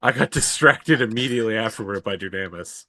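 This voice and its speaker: A man's voice: fairly low pitch, a little fast, pushed and bright. A man hosting the game chat, joking and wry with the players. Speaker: show host. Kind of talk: casual chat between players.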